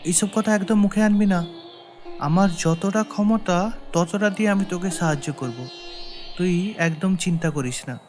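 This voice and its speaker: A man's voice: sits high, moderate pace, darkish tone, soft background music, natural voice. Man playing an earnest, trusting village farmer. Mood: concerned. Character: trusting, caring village farmer, a loyal friend. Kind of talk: cartoon story acting.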